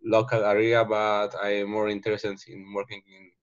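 Polish-accented male student, low steady delivery, hesitant, dull call audio. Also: no room sound. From Poland. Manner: halting, restarts words. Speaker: student asking a question. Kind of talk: video call question.

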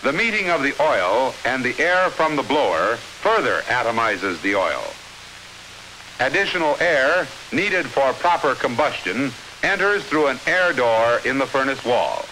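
An American man reading scripted 1950s instructional film narration in a measured, clearly enunciated, authoritative manner. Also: medium pitch, sing-song, pushed and bright, low-quality recording. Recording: hissy 1950s film soundtrack.

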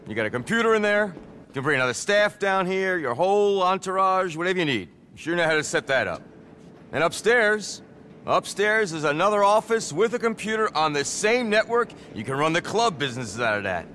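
A vain middle-aged nightclub impresario for a video game. He is flamboyant and frazzled, swinging widely in pitch with a sharp, forceful edge, and swears with theatrical relish. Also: big pitch swings, brisk, slight background noise, full character voice. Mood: brisk, upbeat. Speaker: nightclub owner. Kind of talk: video game cutscene acting.

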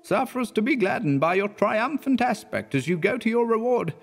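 A man doing a high, pleading minister. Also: sing-song, fairly quick, creaky, husky, exaggerated voice. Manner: high, pleading, then horrified. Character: frightened earnest male minister, pleading.

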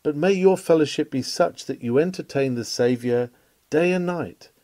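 A man's voice: a little high, normal speed, dark tone, no echo. A man reading an old-fashioned sermon aloud in an oratorical style, with an earnest, exhorting and solemn delivery. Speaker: reader of historic sermons. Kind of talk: read-aloud 19th-century sermon, oratorical.

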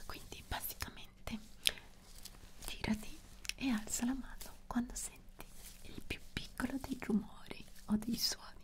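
A young woman whispers right up close to the microphone. She speaks very slowly and softly, reassuring the listener as an examiner in an ASMR medical roleplay. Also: a little high. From Italy. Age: young adult. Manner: soft, unhurried, reassuring. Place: small dark quiet room, indoors.

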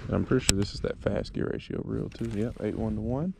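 A man's voice: fairly low pitch, quick pace, gravelly. A man chats casually and thinks aloud in an easygoing, rambling way as he vlogs a fishing trip. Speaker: fishing vlogger. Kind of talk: casual chat to camera while fishing. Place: outdoors on a kayak on a lake. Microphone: action camera mounted on the kayak or body. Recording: noisy outdoor action-camera audio, water and clicks.

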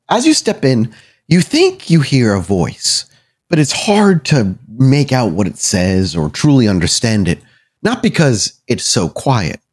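A man narrating a tabletop game as game master, descriptive and conversational, improvising as he goes. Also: low voice.

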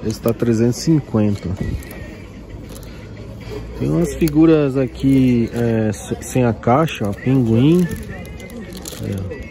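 Young man, outdoor flea market, phone-filmed, mid-range voice, music playing clearly behind, chatty toy walk-through. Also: medium pitch, slow pace, pauses often. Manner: chatty, points things out constantly. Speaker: toy collector vlogger. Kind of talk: casual walk-through commentary. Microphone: handheld phone camera.

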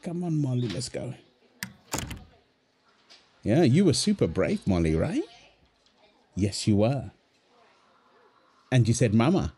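A man's voice: mid-range voice, sing-song, slow pace, long pauses, mellow, a little hiss or hum. A man playing a tender, playful young husband and doting father in an ASMR roleplay, soothing and teasing as he talks. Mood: gentle, reassuring. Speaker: voice actor of a roleplay audio. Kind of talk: ASMR boyfriend roleplay, acted one-sided dialogue.

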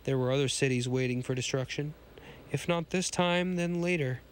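A hoarse man with a cold, hosting a podcast and reading a pulp science fiction story aloud, often stumbling and restarting lines. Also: mid-range voice, moderate pace, slight background noise.

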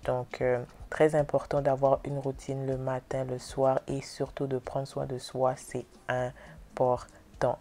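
A woman's voice: a bass voice, vocal fry, dark tone. A young woman vlogging to her phone camera, chatting in a rambling way and sometimes dropping to a whisper. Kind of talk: casual vlog chat to camera. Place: bathroom, car and grocery store. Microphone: phone camera, held or on dashboard. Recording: phone audio with car and store noise.

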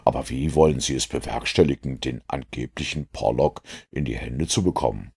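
A man voicing a cool, self-assured master detective in a measured, dry way for an audiobook. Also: extremely low pitch, vocal fry, darkish tone, breathy edge, voice pushed down, full character voice. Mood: cool, composed.

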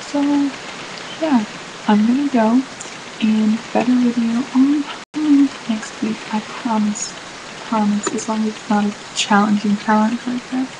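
A woman's voice: fairly high pitch, fairly even intonation, measured pace. Apologetic teen girl rambling on a webcam. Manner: apologetic, rambling, many fillers. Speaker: vlogger. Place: small bedroom indoors. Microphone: laptop webcam mic. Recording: noisy webcam recording with hiss.

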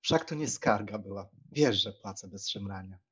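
A man acts out a young country gentleman for a dramatised audiobook. He is frank and teasing, with a husky, expressive delivery. The sound is slightly dull. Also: fairly low pitch, moderate pace. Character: young Polish country squire, frank, teasing, deferential brother.

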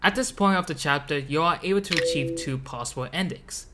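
A narrator reading a scripted video essay about a game in a measured, explanatory way. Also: medium pitch, heavy background noise. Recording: loud background music. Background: loud music.

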